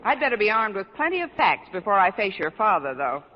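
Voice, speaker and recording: An actress as a wisecracking schoolteacher, muffled vintage radio. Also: female voice, medium pitch, sing-song, brisk, lightly acted. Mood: wry. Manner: dry, sardonic wisecracks. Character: witty, wisecracking adult female high-school English teacher. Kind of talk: 1940s-50s radio sitcom acting. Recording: noisy muffled vintage radio, studio audience laughter.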